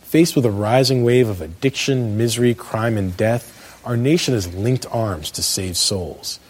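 An American man in middle age reading a scripted spoken essay as a commentator, with emphatic, measured phrasing. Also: on the low side, husky. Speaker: commentator, law professor.

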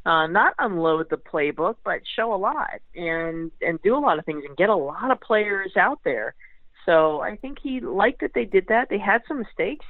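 Over a narrow, tinny phone connection, a man with a fairly high voice gives casual sports commentary as a guest writer. He thinks aloud in a conversational way, and the sound is dry. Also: no echo.

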